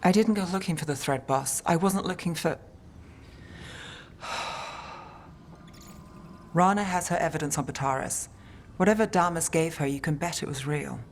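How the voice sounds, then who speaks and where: An actress playing a jaded, hard-bitten investigator in a fantasy video game, speaking in a terse, weary, guarded way. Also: female voice, low-pitched, moderate pace, long pauses, slight background noise. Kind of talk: dramatic video game dialogue.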